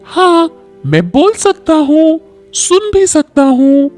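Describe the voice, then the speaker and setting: A woman voicing a friendly, lonely old talking apple tree, gentle and earnest, in a children's storybook. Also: high voice, very animated, slow pace, faint music behind, pitched above the performer's own voice, exaggerated voice. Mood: gentle, reassuring. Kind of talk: Hindi children's audio storybook.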